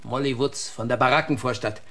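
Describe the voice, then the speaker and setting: A man's voice: mid-range voice, fairly even intonation, fairly quick, sharp tone. A man playing a deferential small-town informant reporting to a lawman in an audio drama. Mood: urgent, respectful.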